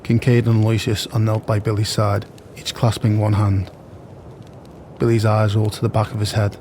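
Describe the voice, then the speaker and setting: Low, flat-toned male narrator. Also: a little hiss or hum. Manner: measured, reading stage directions. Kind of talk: scripted audio play narration.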